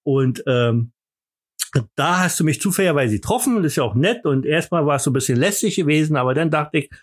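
A man's voice: fairly high pitch, animated, fast. A man with a Berlin accent hosting a podcast, joking around in boastful, mock-pompous banter full of fillers and restarts. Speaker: podcast host.

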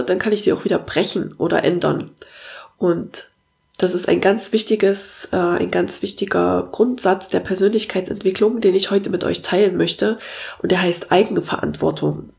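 A young woman with a cold talks in an earnest, encouraging way, hosting her own coaching podcast. Her voice sits at an ordinary pitch with a gravelly edge. She pauses often on filler sounds. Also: darkish tone. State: has a cold. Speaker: podcast host and parenting coach. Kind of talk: casual spoken monologue.

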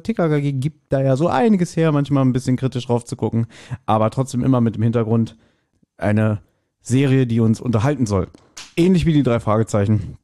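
A man's voice: medium pitch, dark tone, no echo, clean studio sound. A man, talking casually as a podcast host. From Berlin, Germany. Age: late 30s.

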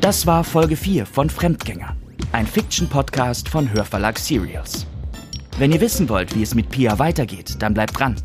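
A man's voice: mid-range voice, expressive, normal speed. A man reading a scripted podcast outro and credits as an announcer. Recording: clear music under the voice.

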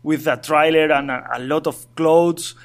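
A man from Asturias with a Spanish accent, speaking halting non-native English as an interview guest, with many restarts and light chuckles. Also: fairly high pitch, fairly even intonation. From Spain, Asturias. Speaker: guest, traveling cyclist. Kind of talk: casual remote interview.

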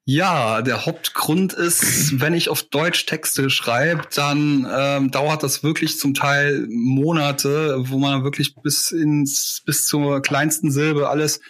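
A man's voice: on the high side, fairly even intonation, takes it slowly, few pauses, a bit bright. Rambling man, full of fillers. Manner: rambling, many fillers and restarts. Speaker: musician guest. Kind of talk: casual interview chat.

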